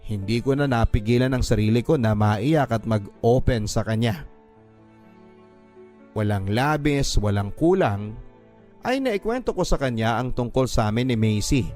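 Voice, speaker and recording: A man's voice: mid-range voice, darkish tone. A man narrating a first-person story in a sentimental, dramatic radio drama style. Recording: music bed behind the voice. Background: music.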